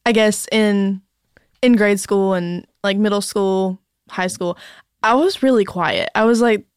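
A woman's voice: medium pitch, a little slow, smooth, no room sound, clean studio sound. A young woman, a singer-songwriter guest on a podcast, chatting and giggling, saying "like" constantly. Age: young adult. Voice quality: mezzo-soprano singer. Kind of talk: casual interview chat.

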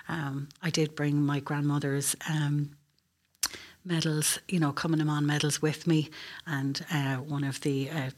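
A middle-aged woman with an Irish accent, explaining things earnestly as a guest in a conversational interview. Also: low-pitched. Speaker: air traffic control officer, interview guest.

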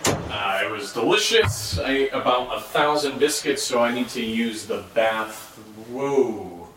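A man's voice: mid-range voice, lively intonation, measured pace, fairly bright tone. A man playing a joking, skeptical friend with a sarcastic, teasing manner in a casual audio drama. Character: joking, skeptical buddy visiting his friend. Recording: faint background noise.

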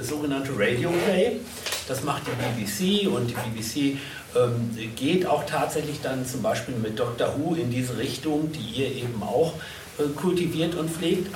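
A man hosting a live panel at a convention, wordy and halting as he moderates and interprets. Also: a little high. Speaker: stage host and interpreter. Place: echoey convention hall. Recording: echoey, distant, noisy event recording.

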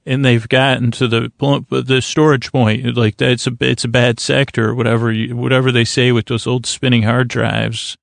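A man with a creaky voice hosting a bedtime podcast in a rambling, halting, stuttering, self-deprecating monologue. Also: mid-range voice, little pitch movement, no room sound, clean studio sound. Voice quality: creaky, gravelly. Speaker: podcast host.

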